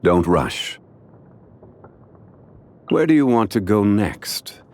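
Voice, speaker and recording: An actor voicing a calm, courteous, dignified man of ancient wisdom in a video game, speaking formally and contemplatively. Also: on the low side, slow pace. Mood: polite, helpful. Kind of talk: scripted video game character voice lines.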